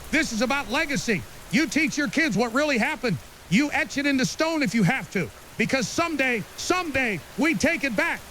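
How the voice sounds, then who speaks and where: Guy doing a paranoid doomsday radio ranter, high pitched, urgent n defiant, rain and hiss all over it. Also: full character voice, low-quality recording. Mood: defiant, rousing. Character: angry, paranoid male conspiracy broadcaster in a zombie apocalypse. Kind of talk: dramatic scripted monologue. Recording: hissy recording with steady rain noise.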